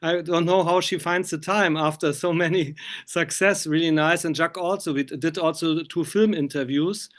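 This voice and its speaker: A man chatting as an interviewer in halting, English. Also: fairly high pitch, fairly even intonation, a bit bright. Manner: chatty, halting non-native English phrasing. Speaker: film magazine interviewer. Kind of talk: casual interview over video call.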